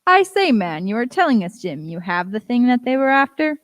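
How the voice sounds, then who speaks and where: A woman playing a composed, inquisitive gentleman doctor in a radio play, speaking politely and formally. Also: fairly high pitch, big pitch swings, a little fast. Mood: polite, curious. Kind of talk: youth radio play acting.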